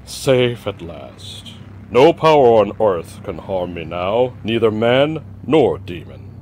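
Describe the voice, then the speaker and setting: A man playing a fearful, ruthless king in a comic-book horror reading. The voice leaps from hushed mutters to panicked shouts, always dragging slowly. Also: fairly low pitch, sing-song, slight background noise. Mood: paranoid. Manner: frantic cries and anxious muttering. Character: paranoid middle-aged king, fearful and ruthless.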